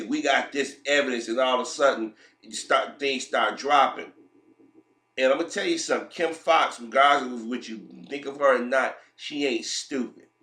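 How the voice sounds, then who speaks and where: A man's voice: medium pitch, pushed and bright. Man with a head cold, echoey room. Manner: emphatic, repeats himself, fillers and restarts. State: getting over a head cold. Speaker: commentary vlogger. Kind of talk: opinionated commentary.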